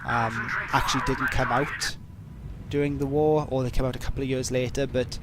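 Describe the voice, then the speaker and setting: A man's voice: mid-range voice, steady tone, moderate pace, heavy background noise. A man with a heavy Welsh accent chatting casually on a livestream, reading and answering viewer questions in a rambling, self-deprecating way with many fillers. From Wales. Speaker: streamer.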